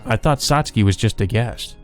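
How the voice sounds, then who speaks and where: A man's voice: fairly low pitch, a little fast, faint music behind, natural voice. A man voicing a polite, reserved young hero.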